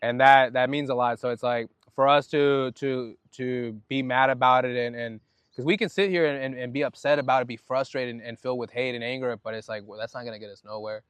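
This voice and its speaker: A soft-spoken man from Oceanside, California, speaking as a podcast guest. His voice is smooth and resonant at a middle pitch. He talks reflectively, with frequent restarts, and the sound is close and dry. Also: steady tone, a little fast, no echo. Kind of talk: casual podcast conversation.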